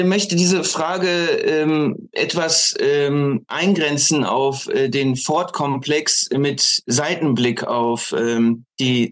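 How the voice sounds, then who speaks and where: A man speaking as a podcast guest in analytical political discussion, choosing academic words deliberately, with hesitant pauses. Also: a little high, a bit bright.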